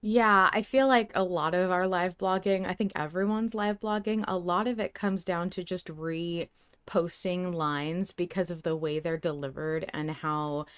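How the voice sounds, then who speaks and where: A woman with a fairly low voice, recorded close and dry. She chats casually as a podcast co-host, effusive and emphatic in how she puts things. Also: steady tone, unhurried, clean tone, dry recording. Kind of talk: casual fan discussion chat.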